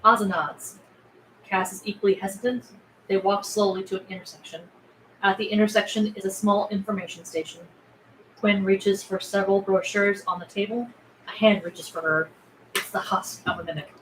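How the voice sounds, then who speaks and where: A woman's voice: mid-range voice, steady tone, normal speed. Woman reading stage directions, echoing room. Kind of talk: scripted narration at a table read. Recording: roomy echo with clear background noise.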